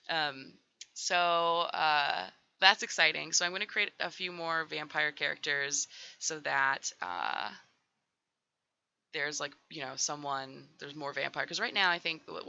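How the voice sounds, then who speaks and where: A woman with a raspy voice, just up and getting over a cold, rambling casually through a voiceover with lots of "um" and "like". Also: low-pitched, bright, forceful tone, muffled recording. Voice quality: raspy with vocal fry. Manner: rambling, full of "um" and "like". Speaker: Sims builder doing a voiceover.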